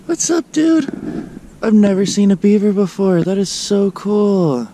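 A man vlogging outdoors by a river, talking casually into a handheld camera and getting excited over wildlife. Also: high-pitched, unhurried. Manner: excitable, easily thrilled by wildlife. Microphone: handheld action camera at arm's length. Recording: outdoor recording with loud background noise.

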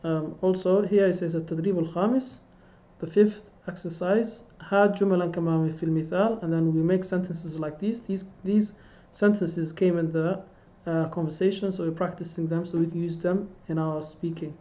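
A man's voice: sits high, fairly even intonation, a little fast, dark tone. Patient male teacher over a dull webcam. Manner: patient, repeats phrases for students to echo. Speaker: Arabic teacher. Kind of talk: online language lesson. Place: indoor room.

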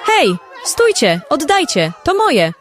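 A woman's voice: wildly swooping pitch, slow, slight background noise, full character voice. A woman playing a feisty, protesting little girl in an amateur radio play. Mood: indignant. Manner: shrill, protesting. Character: feisty little girl defending her toys.

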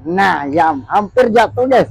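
Joking high-voiced barber, dry close sound. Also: male voice, animated, takes it slowly, vocal fry, breathy edge, no room sound, rough recording. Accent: Indonesian. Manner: chatty, joking, keeps saying "guys". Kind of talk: casual vlog narration while cutting hair. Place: small barbershop, indoors, street-facing.

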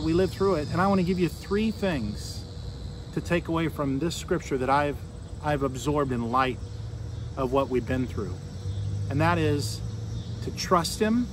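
Male American pastor, forties, high voice, conversational sermon delivery with pauses, recorded outside over noticeable ambient noise. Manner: earnest, conversational, with pauses and rhetorical questions. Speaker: Lutheran pastor. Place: outdoors beside a fallen tree near a street. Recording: outdoor noise, insects and vehicles.

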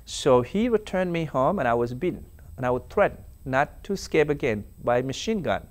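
Young male guest, Dinka first language, earnest halting testimony, lapel mic in TV studio. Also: mid-range voice, animated. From South Sudan. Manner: earnest, halting, with many fillers. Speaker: interview guest. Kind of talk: personal testimony in a TV interview. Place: TV studio set.

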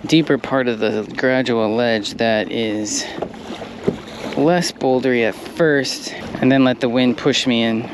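A man's voice: medium pitch, slow pace. A young man fishing from a kayak, casually talking through his plan as he fishes, recorded on an action camera out on the water. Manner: relaxed, thinking aloud while fishing. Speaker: kayak angler. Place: kayak on open water outdoors. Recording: windy outdoor camera audio. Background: wind and water.

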